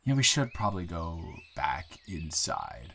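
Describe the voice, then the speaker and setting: A man playing a nervous, smitten new guy in town in an improvised romantic audio roleplay, halting and playfully teasing. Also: low-pitched, sing-song, creaky, sharp tone, a little hiss or hum, exaggerated voice. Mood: flirty, hesitant.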